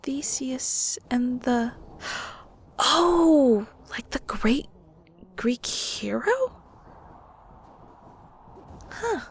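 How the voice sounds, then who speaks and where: Casting: actress as a curious, polite female underworld ghost, higher-pitched, hesitant and giggly, with some fry, over faint noise. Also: very animated, extremely slow, pauses often, full character voice. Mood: apologetic, curious. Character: shy, curious young female ghost, newly arrived in the underworld. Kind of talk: audio roleplay, intimate conversational acting.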